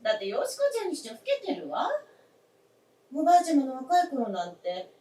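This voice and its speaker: An actress playing a polite, brisk, teasing younger woman in a staged radio drama. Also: sits high, wildly swooping pitch, warm tone. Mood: musing. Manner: genteel, polite feminine speech. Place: theatre stage. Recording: echoey stage captured from far off.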